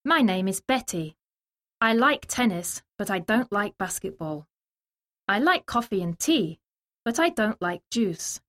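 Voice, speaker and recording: Female textbook dialogue reader, mid pitch with animated intonation on short, simple scripted sentences. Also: measured pace. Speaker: language lesson dialogue reader.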